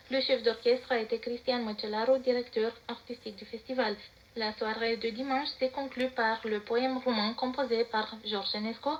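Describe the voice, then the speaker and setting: A woman with a Romanian accent reads the news in a level, unvaried tone, her voice sitting a little high. The sound is dull and muffled, as if caught off shortwave radio, with a light hiss behind it. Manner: even, steady delivery. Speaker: news presenter. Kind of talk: read news bulletin.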